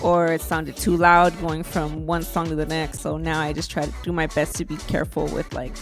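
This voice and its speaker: A woman DJ with a soft, mellow voice, talking through her mix in a quiet, understated way during a casual interview. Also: deep voice, little pitch movement, moderate pace, music in the background. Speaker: DJ guest.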